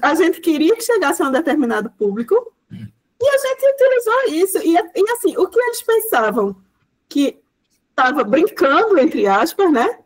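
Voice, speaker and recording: A high, animated woman's voice. She lectures in a chatty, storytelling way, slipping into quoted dialogue and loose fillers. Her pitch swings widely. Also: normal speed. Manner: lively, many fillers, acts out quoted speech. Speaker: guest lecturer.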